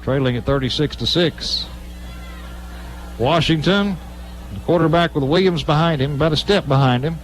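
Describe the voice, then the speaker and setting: A man's voice: a little high, moderate pace, pauses often, slight background noise, low-quality recording. An American man doing live play-by-play radio commentary of a football game in a clipped running style that builds on big plays. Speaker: play-by-play announcer. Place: stadium broadcast position at a high school game.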